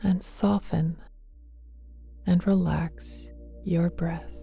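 Very soft, mellow woman guiding meditation, slowly. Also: fairly low pitch, steady tone. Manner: gentle, hushed, often breathy near a whisper; tender, affectionate address to listener. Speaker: meditation guide. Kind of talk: guided meditation, slow and soothing. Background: soft meditation music with singing bowls.

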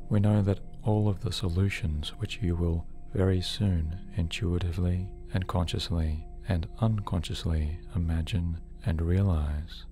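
A man narrating a guided sleep hypnosis session in a soothing, measured, deliberate way, as a hypnotherapist. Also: low-pitched, warm tone. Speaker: hypnotherapist host. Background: background music.

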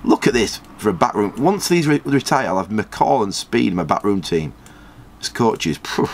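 A man's voice: animated, a little hiss or hum. Chatty man playing a game. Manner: chatty, rambling, quick jumps in pitch when surprised. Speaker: gaming channel host. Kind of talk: casual game commentary and comment reading.

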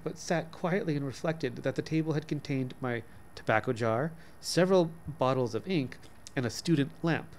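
A man in his forties reading a short story aloud expressively, sometimes stumbling and rereading words. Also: fairly high pitch. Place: small office, indoors. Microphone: desk microphone in front of him.

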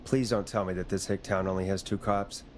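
A man's voice: deep voice, steady tone, brisk, a little mellow, a little hiss or hum, natural voice. A man playing a jaded, sarcastic late-night radio DJ in a video game. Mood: skeptical, irritated.

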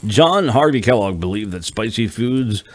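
Low-voiced man narrating fiction. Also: animated, moderate pace, dry recording. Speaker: audiobook narrator and author.

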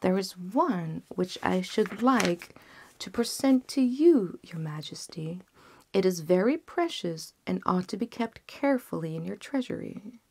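A woman voicing a doomed male court physician who pleads in a hushed, breathy way. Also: mid-range voice.

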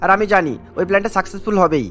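A man's voice: high voice, rapid-fire, fairly bright tone, soft background music. A man playing an earnest, determined young police inspector in a cartoon, speaking in an eager, emphatic way. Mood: confident, eager. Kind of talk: cartoon voice acting.

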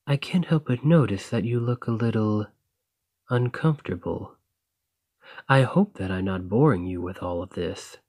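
A man playing a gentle, bookish, lovestruck dragon librarian in a romantic ASMR roleplay, speaking softly and earnestly with a slightly shy manner. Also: medium pitch, no room sound, studio quality.

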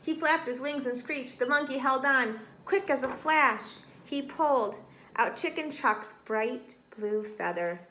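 A woman's voice: high voice, measured pace, sharp tone. A middle-aged woman reading a storybook aloud to children expressively, recorded in a small room. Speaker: storybook reader. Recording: roomy, some background noise.

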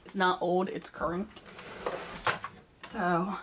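A woman's voice: lowish voice, level tone, takes it slowly. A woman in her thirties chatting casually as she tidies her beauty shelves indoors, moving toward and away from the camera mic. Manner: chatty, rambling, thinking aloud while tidying. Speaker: beauty YouTuber. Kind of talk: casual cleaning vlog chat. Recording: noisy room tone with clicks.

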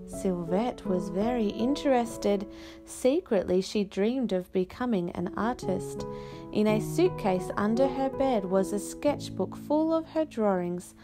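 A woman reading a children's picture book aloud with expressive, careful, unhurried phrasing and dramatic pauses. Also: mid-range voice, music in the background.

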